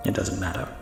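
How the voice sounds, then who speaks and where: An actor playing an intense priest with dark secrets in a melodramatic horror audio drama. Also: male voice, lowish voice, gravelly, heavily acted. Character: sinister priest with dark secrets, turning terrified. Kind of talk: melodramatic radio horror acting. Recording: echoey reverberant hall, music underneath.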